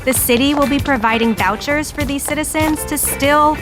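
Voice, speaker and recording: A woman's voice: high-pitched, normal speed, close to an everyday voice. A woman playing a chatty, opinionated live news personality in an audio drama. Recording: music bed under the voice.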